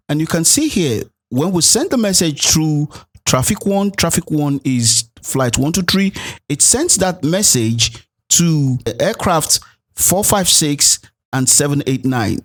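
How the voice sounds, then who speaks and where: A man narrating a programming tutorial as an instructor, explaining things step by step. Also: on the high side. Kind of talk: tutorial narration with code walkthrough.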